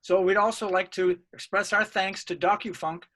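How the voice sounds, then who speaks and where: High male voice, sharp bright tone, formal researcher, earnest scripted conference-paper delivery. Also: flat delivery, moderate pace. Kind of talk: scripted academic presentation, read aloud.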